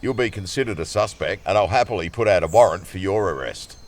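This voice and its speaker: A stern policeman in an audio drama. His voice sits fairly low, and he speaks curtly with officious, threatening authority. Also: lively intonation, fast.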